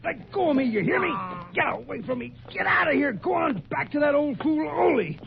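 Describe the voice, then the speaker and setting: An actor playing a superstitious, quick-tempered prospector in an old radio western, with an American accent and excitable, folksy speech. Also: male voice, very high-pitched, creaky, heavily acted. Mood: exasperated. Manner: excitable, folksy backwoods grammar. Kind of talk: 1940s radio western acting. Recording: muffled, noisy old radio recording.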